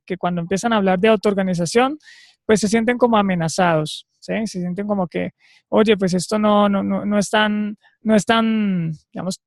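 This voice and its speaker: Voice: medium pitch, no room sound. Host on a webinar call, asks long wandering questions, dry close sound. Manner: rambling, repeated words while asking. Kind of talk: interview questions. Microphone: video call.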